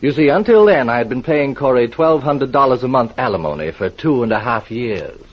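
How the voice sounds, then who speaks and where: A man's voice: mid-range voice, animated, fairly quick, natural voice. A man playing a polite, evasive middle-aged dress designer with an American accent, in 1940s radio acting style. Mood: polite, defensive. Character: guarded, polite male dress designer, forty-five. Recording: dull vintage radio recording.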